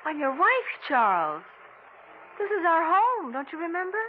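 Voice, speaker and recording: Anxious, tender wife, 1940s radio thriller. High female voice, concerned and pleading, clearly noisy vintage recording. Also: huge pitch swings, moderate pace, phone-quality sound, heavily acted. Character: anxious, tender wife of an amnesiac, former nurse.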